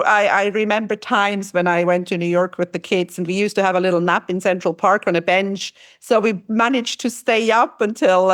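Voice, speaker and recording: A woman hosting a travel podcast interview, speaking in a halting way with frequent restarts. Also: mid-range voice, no echo, studio quality. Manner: halting, restarts phrases often. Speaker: podcast host. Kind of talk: conversational interview.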